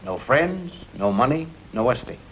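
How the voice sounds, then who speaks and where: An actor playing a courteous, talkative city attorney in an old film, speaking formally and precisely. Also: male voice, medium pitch, sing-song, moderate pace, close to an everyday voice. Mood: formal, regretful. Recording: muffled, noisy old film soundtrack.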